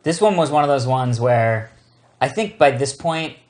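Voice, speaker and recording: Mid-pitched man in casual banter. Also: lively intonation, normal speed, darkish tone. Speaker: show co-host.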